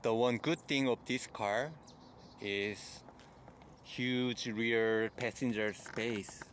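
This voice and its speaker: A man with a Korean accent, whose first language is Korean, gives a car review walkthrough in plain, halting phrases. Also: mid-range voice, fairly even intonation, a bit bright, a little hiss or hum. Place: in and around a car.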